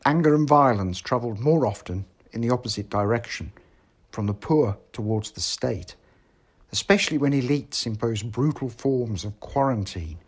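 A man reading a history article aloud in a formal, measured manner. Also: fairly low pitch, breathy edge. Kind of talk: scripted narration, reading an essay.

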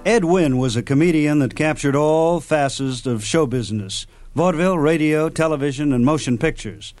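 A man's voice: on the high side, normal speed, a little mellow, faint music behind. A man reading scripted introductions as host of an old-time radio program.

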